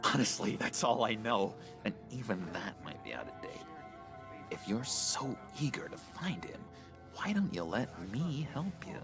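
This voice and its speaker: Casting: jittery, needy male oddball, lowish creaky voice with big pitch swings, wheedling game dialogue. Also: sing-song. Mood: needy, eager. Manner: theatrical, halting, wheedling. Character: unstable, needy, overfamiliar man, eerily polite and jittery. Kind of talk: video game voice acting. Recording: music behind voice.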